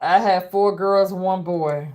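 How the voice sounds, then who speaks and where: A woman's voice: fairly low pitch, steady tone, slow, darkish tone. A woman in her fifties from East Harlem with a New York accent, hosting a live call-in reading in an easygoing, chatty way. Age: 50s. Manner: easygoing, chatty, uses "girl". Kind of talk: casual live-stream call-in chat.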